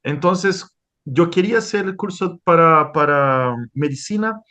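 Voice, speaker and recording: A Brazilian man whose native tongue is Portuguese talks through an interview in Spanish, stopping, restarting and filling gaps as he searches for words. His voice sits a bit high for a man. The sound comes over a video call. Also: a little slow, sharp tone. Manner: hesitant, many restarts and fillers. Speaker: interviewed scientist. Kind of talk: conversational interview.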